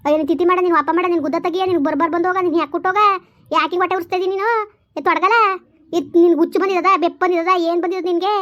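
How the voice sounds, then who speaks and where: A woman doing a shrill, foul-mouthed village elder sister. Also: fairly even intonation, racing, a bit bright, dull audio. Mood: scolding. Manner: berating, abusive, hectoring. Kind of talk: village family drama skit.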